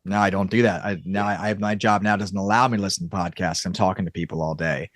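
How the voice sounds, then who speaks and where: A man speaking as a podcast guest in an informal, halting casual chat. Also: sits low, fairly even intonation, no echo, studio quality. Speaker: podcast guest, audio drama creator.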